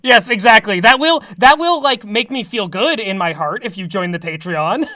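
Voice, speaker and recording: A man's voice: high voice, expressive, normal speed, pushed and bright, dry recording, studio quality. A man co-hosting a comedy podcast with jokey, playfully melodramatic banter. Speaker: co-host.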